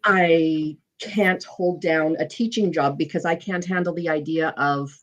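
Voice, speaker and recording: A woman in her forties sharing openly in a casual recovery meeting, talking haltingly with many pauses. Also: deep voice, steady tone, muffled recording. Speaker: meeting participant. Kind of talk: casual chat.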